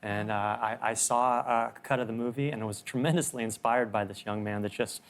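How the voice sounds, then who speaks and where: Man, TV studio guest, conservationist, earnest, measured. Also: mid-range voice, steady tone. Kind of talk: TV interview.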